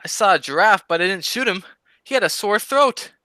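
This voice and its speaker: A woman's voice: medium pitch, lively intonation, brisk, bright, forceful tone, dry recording. A woman playing a dim but cheeky male sidekick in an old-time radio comedy, delivering wisecracks with childlike innocence. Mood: innocent.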